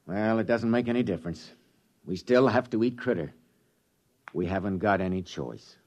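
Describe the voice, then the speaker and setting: A man's voice: on the low side, moderate pace, darkish tone. An actor playing a practical male expedition leader with a wry, blunt way of talking, in 1950s radio drama style. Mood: grim.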